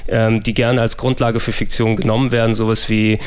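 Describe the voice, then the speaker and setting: A man's voice: on the low side, level tone, fairly quick, clear, resonant tone, no echo. A German man chatting casually as a podcast host, rambling with many fillers and restarts. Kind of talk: casual gaming podcast chat.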